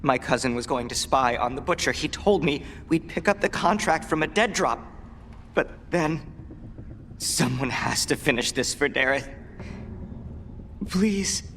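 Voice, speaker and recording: High-pitched, pleading young assassin. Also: male voice, animated, unhurried, pauses often, fairly bright tone, a little hiss or hum. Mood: grieving, pleading. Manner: pleading, urgent. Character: young grieving crow assassin, desperate, pleading for his slain cousin. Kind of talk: dramatic video game acting.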